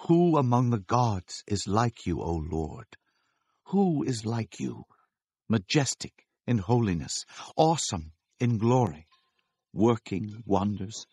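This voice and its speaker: A reverent Israelite man proclaiming victory in a scripture audio drama. He is grave and declamatory, with a lowish voice and very unhurried delivery. The recording is dry, with no room sound. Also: very animated, dark tone, breathy edge, dry recording, exaggerated voice. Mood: grave exaltation.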